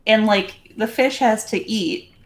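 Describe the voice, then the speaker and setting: A woman's voice: fairly high pitch, measured pace. A woman playing a tabletop roleplaying game, chatting casually and improvising as she goes.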